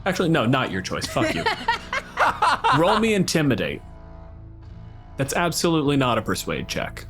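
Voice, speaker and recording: A man's voice: on the high side, wildly swooping pitch, normal speed, a bit bright, soft background music. A man narrating and bantering as game master, with a sweary, teasing manner. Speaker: game master and podcast host.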